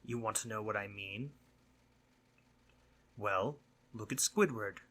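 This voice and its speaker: A man playing a mischievous but concerned sleep demon in a roleplay audio monologue, husky and conversational, earnest and teasing, sometimes dropping to breathy near-whispers. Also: lowish voice, slow pace, fairly bright tone. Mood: earnest, frustrated.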